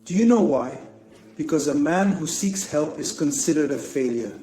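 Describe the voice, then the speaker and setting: A man delivers spoken-word poetry in a soft-edged, mellow voice, a little higher than most. He is solemn and very unhurried, leaving weight in his pauses, with music underneath. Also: animated. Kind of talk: dramatic poetic monologue. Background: music behind the voice.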